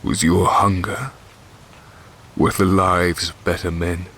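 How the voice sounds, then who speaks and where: Stern, low-voiced warrior king. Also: level tone, slow, fairly bright tone, a little hiss or hum. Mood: cold anger. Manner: commanding, formal, archaic phrasing. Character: stern, wrathful warrior king granting cold mercy. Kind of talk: fantasy audio drama acting.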